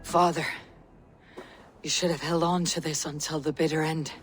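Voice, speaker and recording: A woman's voice: low-pitched, little pitch movement, moderate pace, soft background music, lightly acted. An actress playing a tough, defiant young Viking warrior woman in a video game, commanding and resolute. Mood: bitter. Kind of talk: dramatic video game cutscene acting.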